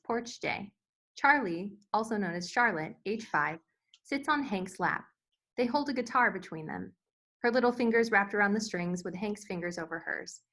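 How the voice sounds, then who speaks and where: A woman's voice: medium pitch, a bit bright. A woman narrator reading screenplay scene directions as scripted narration.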